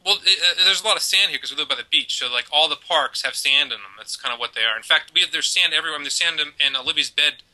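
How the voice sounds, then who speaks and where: Bright rambling man on a video call. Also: on the high side, rapid-fire, few pauses, rough recording. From Baltimore, US East Coast. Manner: rambling, joking, self-mocking. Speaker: podcast co-host. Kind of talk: casual parenting chat over video call. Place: home room, indoors. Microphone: headset on a video call.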